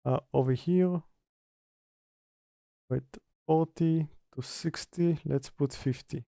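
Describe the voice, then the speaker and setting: A man presenting an informal software tutorial, halting as he reads steps from notes and mutters through them. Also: on the high side, gravelly, no echo, studio quality. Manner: halting, mutters step by step while working. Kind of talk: informal screen-recorded software tutorial, partly read from notes.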